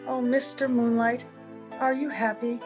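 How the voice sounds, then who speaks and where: A woman acts out a wistful, ageless young heroine of an old-time radio play. She is tender and earnest, with a fairly high, gentle voice. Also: steady tone, measured pace, soft background music, natural voice.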